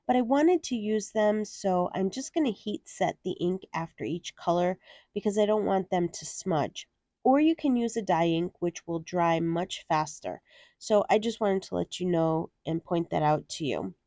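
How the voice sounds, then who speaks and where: A woman walks through a card-making project step by step in a cheerful, chatty way. Her mellow voice sounds nasal and stuffed up from a head cold. The recording is dry and close, with no room echo. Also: mid-range voice. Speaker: card-making channel host. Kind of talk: crafting tutorial narration.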